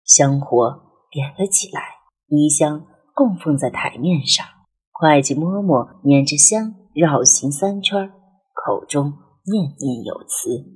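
A woman a breathy voice and reads literary narration in a measured, expressive, dramatic way, as an audiobook narrator. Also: deep voice, poor audio. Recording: music under the voice. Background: background music.

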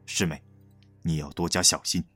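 A deep male voice with faint music behind. a senior assassin in a costume audio drama, calm and reserved, giving measured, protective counsel. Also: slightly rough, exaggerated voice. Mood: grave, cautioning.

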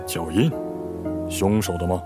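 An actor playing a composed, courteous middle-aged lawyer in an audio mystery drama, speaking in a measured, thoughtful way. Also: male voice, low-pitched. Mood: calm, thoughtful. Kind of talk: radio drama acting. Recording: prominent background music.

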